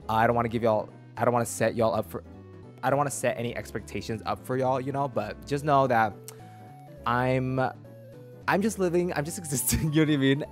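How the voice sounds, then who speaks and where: A man in his twenties chatting casually as a game streamer, rambling and cutting himself off, close on a desk mic. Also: medium pitch. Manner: rambling, self-interrupting. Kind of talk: casual livestream chat. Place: small room indoors. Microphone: desk mic close to the mouth. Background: game music.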